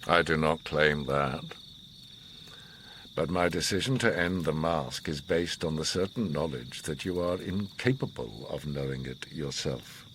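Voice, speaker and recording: An actor playing a grave, commanding, enigmatic older war survivor in a radio drama, speaking in a measured, solemn, philosophical way. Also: male voice, extremely low pitch, a bit bright, slight background noise. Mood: coldly dismissive. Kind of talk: radio drama monologue and dialogue.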